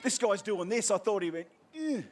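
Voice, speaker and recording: A man's voice: high voice, lively intonation, moderate pace. An Australian man acting as MC at an outdoor event, handing out prizes with playful, teasing crowd banter.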